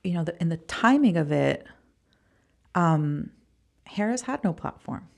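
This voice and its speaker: A woman from Costa Rica speaking as a podcast guest in a casual, halting, emphatic interview style. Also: on the low side, animated, gravelly, darkish tone. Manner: informal, halting, emphatic with repeated words. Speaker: podcast guest, venture fund founder.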